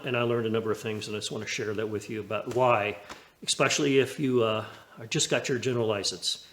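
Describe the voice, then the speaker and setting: A man with a medium-pitched voice chats informally to viewers about his hobby. He speaks in a halting, down-to-earth way, with an encouraging tone, like an amateur vlogger sharing what he knows. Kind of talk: casual vlog chat, sharing lessons learned.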